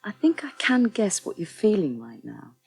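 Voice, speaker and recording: An actress playing a firm, supportive crisis counsellor in a radio soap, earnest and plain-spoken. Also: female voice, medium pitch, sing-song, normal speed, vocal fry, a little mellow, breathy edge, no echo, exaggerated voice. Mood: reassuring, earnest. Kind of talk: British radio soap acting.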